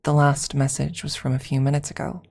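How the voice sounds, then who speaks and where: A woman reading a first-person story aloud as ASMR, softly and deliberately, with dramatic pauses and some near-whispered phrasing. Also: extremely low pitch, flat delivery, creaky.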